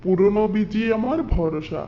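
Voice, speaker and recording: A man acts out a poor but hopeful village farmer from a cartoon fairy tale. His voice is high for a man, earnest and plain-spoken. A faint noise sits behind the recording. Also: expressive, unhurried, mellow, lo-fi sound. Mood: resigned but hopeful.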